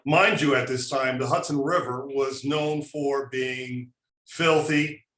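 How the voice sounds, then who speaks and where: A man in his fifties from Albany shares his memories in a reflective, unhurried way, pausing on fillers. His voice sits mid-range. The room around him is echoey. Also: mid-range voice, fairly even intonation, a bit bright. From Albany, New York. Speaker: panelist. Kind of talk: personal storytelling presentation. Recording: roomy echo.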